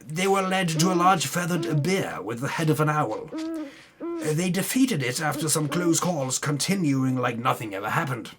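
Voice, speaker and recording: A man's voice: a little high, expressive, normal speed, a bit bright. A man giving a dramatic, tongue-in-cheek reading of a fantasy game recap, breaking off into muttered asides. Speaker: narrator recapping a tabletop game campaign.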